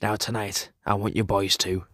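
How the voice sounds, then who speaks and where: A man's voice: lowish voice, flat delivery, moderate pace, fairly bright tone, dry recording, studio quality, voice pushed down. A man playing brash 1930s tough guys with blunt, slangy talk in a comic book audio drama. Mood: brash, scheming. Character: male 1930s gangsters and cops, brash, tough.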